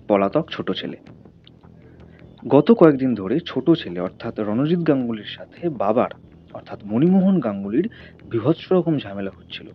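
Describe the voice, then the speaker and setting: Guy reading a dramatic story, really animated, bit raspy, slightly muffled audio. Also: medium pitch. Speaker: story narrator.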